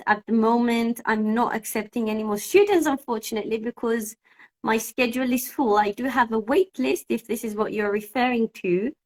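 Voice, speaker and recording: Chatty Cypriot-born teacher, Greek native. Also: female voice, a little high, poor audio. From Cyprus. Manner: chatty, thinking aloud while fixing technical trouble. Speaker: English teacher hosting a live session. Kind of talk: casual live-stream chat. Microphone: phone.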